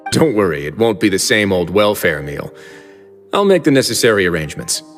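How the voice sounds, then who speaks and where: An actor playing a composed prison-warden duke with a dry, teasing manner, in a video game dub. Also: male voice, lowish voice, big pitch swings, brisk, long reverb tail, over loud music. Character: calm male prison warden duke, wry and firm.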